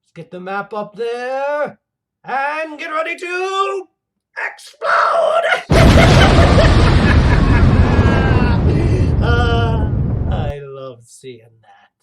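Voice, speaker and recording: A man playing a theatrical mad villain hosting a comedy livestream, rambling and gloating with halting, melodramatic delivery and a creaky voice. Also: extremely high pitch, wildly swooping pitch, very noisy, full character voice. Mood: maniacal. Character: theatrical male mad villain host plotting laser revenge. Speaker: livestream show host.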